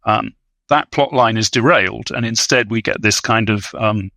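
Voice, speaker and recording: A man with a bright, forward voice talks as an analytical podcast panelist. He is articulate and scholarly, choosing bookish words, recorded close and dry. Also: on the low side, moderate pace, creaky, no echo. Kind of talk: analytical film discussion.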